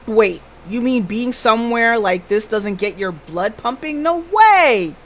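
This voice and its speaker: A woman voicing an eager, chatty junior detective from a visual novel, excitable and fascinated by the occult. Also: fairly high pitch, unhurried, a little hiss or hum. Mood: excited.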